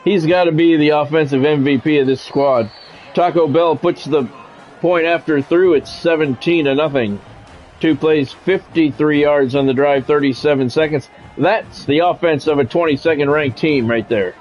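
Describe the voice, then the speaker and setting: A man calls a football video game play-by-play in a practiced radio announcer's cadence, his voice sitting a bit high for a man. The stream audio is slightly muffled, with faint game music underneath. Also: normal speed, darkish tone. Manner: switches between broadcast calls and chatting with viewers; announcer cadence, builds up on big plays. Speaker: gaming streamer doing play-by-play.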